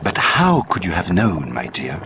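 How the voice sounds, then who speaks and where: Learned male sage, low voice, slow measured delivery, grave, ominous, video game cutscene, faint noise. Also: huge pitch swings, full character voice. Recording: slightly noisy old game audio.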